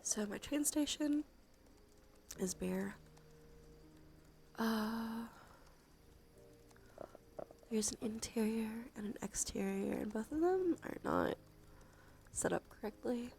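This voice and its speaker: A soft-spoken woman chatting on a cozy ASMR livestream, with a creaky voice that often slips into a breathy whisper. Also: a little high, lively intonation, slow, pauses often, a little hiss or hum. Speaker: streamer.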